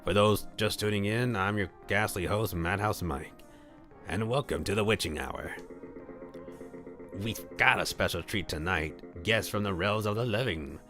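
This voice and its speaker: A man's voice: lowish voice, a little slow, fairly bright tone. A man voicing a ghastly, showy ghoul who hosts a radio show, with theatrical late-night announcer patter. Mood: showy, welcoming. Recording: music behind the voice.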